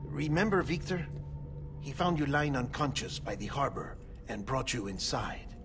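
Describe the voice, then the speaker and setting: An actor playing an earnest male scientist who explains things in a measured way. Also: mid-range voice, expressive, background noise, lightly acted.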